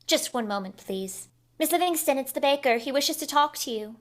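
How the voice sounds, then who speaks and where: A woman's voice: sits high, expressive, moderate pace. A woman playing a curious young housemaid in a 1950s-style radio comedy, asking eager, naive questions. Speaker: radio play actor.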